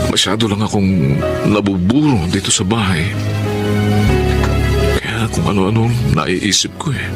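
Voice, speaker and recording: A humbled, earnest house husband in a radio drama, his voice very deep and his delivery slow. Loud music plays under his voice. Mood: apologetic. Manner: hesitant, apologetic, then earnest. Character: remorseful jobless husband, now a house husband.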